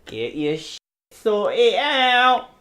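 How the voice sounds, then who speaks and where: A man's voice: sits high, sing-song, extremely slow, pushed and bright. A man chatting casually about an album, joking and rambling, often trailing off mid-sentence. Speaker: podcast co-host.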